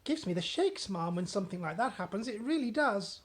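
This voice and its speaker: A woman's voice: medium pitch, very animated, brisk, breathy edge, pitched above the performer's own voice. A woman voicing a fussy, loyal middle-aged maid who talks eagerly and deferentially while reading a storybook aloud. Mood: anxious.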